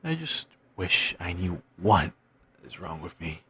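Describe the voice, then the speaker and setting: Male actor as a wandering bard, very husky, low-pitched, self-doubting, thoughtful pauses, formal phrasing, fantasy audio roleplay. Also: sing-song, bright, forceful tone, full character voice. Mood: melancholy musing. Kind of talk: intimate one-sided audio roleplay acting.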